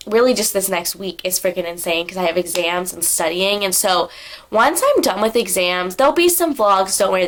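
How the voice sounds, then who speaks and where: A woman's voice: mid-range voice, fairly bright tone. A young woman vlogger talking casually to camera at home, stuffy-nosed from a cold, chatty and full of fillers. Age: young adult. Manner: chatty, filler-heavy, tangents. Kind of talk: casual sit-down vlog talk. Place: indoors at home.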